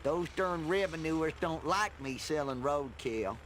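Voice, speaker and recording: Slack-jawed cartoon yokel: rural Southern US accent, rustic, bright, fairly high. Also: male voice, lively intonation, unhurried, slight background noise. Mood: folksy. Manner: folksy, rustic. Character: folksy slack-jawed hillbilly yokel, simple and agreeable. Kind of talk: animated game cutscene acting.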